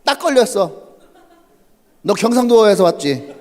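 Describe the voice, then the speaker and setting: A man acting out a loud male bystander who insists and jeers as he accuses someone. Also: sits high, sing-song, unhurried. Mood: taunting. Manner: jeering, insistent. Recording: reverberant church sanctuary.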